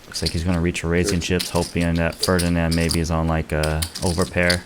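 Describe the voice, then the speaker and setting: A man giving play-by-play commentary on a poker game, reading each hand in a measured, analytical way. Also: low-pitched, completely flat delivery, background noise.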